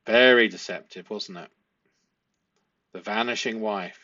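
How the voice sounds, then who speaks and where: A man giving analytical commentary to viewers as a host, speaking emphatically and deliberately, often with rhetorical tag questions. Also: fairly low pitch, bright, forceful tone, highs cut off. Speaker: host analysing a police interview.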